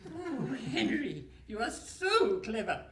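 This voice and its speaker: A very high-pitched, dotty old wife, genteel and fluttery in a radio comedy. The voice swoops about and echoes in a very noisy hall. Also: female voice, sing-song, slow pace, a bit bright, far from the mic, full character voice. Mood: curious, fussy. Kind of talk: comic live radio play acting.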